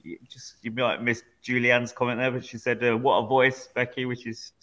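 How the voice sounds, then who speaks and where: A man's voice: medium pitch, level tone, moderate pace, a bit bright. A middle-aged man hosting a casual online music show, speaking hesitantly with many fillers. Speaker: host. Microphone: headset. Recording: dull lo-fi video call audio.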